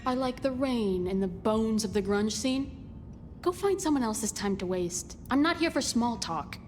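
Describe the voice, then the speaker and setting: A woman's voice: fairly high pitch, expressive, a little slow, a little mellow, long reverb tail, soft background music. An actress playing an aloof, abrasive grunge vampire woman in a video game, blunt and dismissive.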